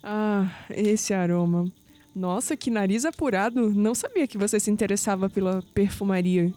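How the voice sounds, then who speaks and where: Woman acting coy young Brazilian woman, mellow voice. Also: medium pitch, normal speed, faint music behind, close to an everyday voice. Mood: coy, curious. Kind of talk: audio drama acting.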